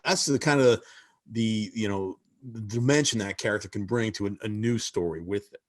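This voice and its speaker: A man's voice: fairly low pitch, normal speed. A man talking as a convention panelist in a casual fan discussion over a video call, emphatic and full of "you know" fillers.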